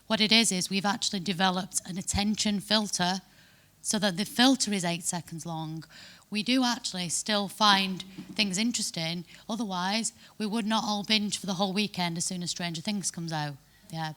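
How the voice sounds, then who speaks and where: A woman's voice: mid-range voice, normal speed, a bit bright. A woman from Manchester with a Mancunian accent, giving a chatty conference talk and often checking in with "yeah?". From Manchester, England. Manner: chatty, frequent tag "yeah?" and restarts. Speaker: conference speaker. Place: conference hall.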